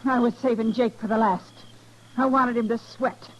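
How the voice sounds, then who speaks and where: A woman's voice: on the high side, fairly even intonation, normal speed, close to an everyday voice. A woman playing a bitter, defiant frontier widow bent on revenge in a 1950s western radio drama. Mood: bitter, vengeful. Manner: defiant, grimly resigned. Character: bitter, vengeful frontier widow, resolute killer. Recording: dull lo-fi old radio recording.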